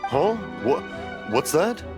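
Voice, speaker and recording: Jolly old dad, high-pitched and animated. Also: male voice, slow. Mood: confused, proud. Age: older. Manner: rambling, jovial, easily confused. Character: hard-of-hearing elderly father, jolly rambling fireworks craftsman. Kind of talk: anime-style video game voice acting. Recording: background music behind the voice.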